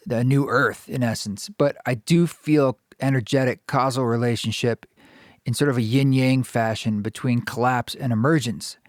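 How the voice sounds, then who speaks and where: A male podcast host with a mid-range voice who talks in a slow, searching way, with frequent pauses and fillers. The sound is dry and close, with no room echo. He comes across as earnest and reflective. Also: medium pitch. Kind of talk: reflective podcast monologue and interview.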